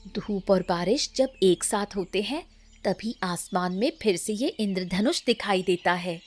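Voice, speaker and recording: A tender, indulgent village grandmother acted by a woman in a cartoon story. She speaks very slowly at a middling pitch, with music in the background. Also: natural voice. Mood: gentle, admiring. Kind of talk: Hindi cartoon story dialogue.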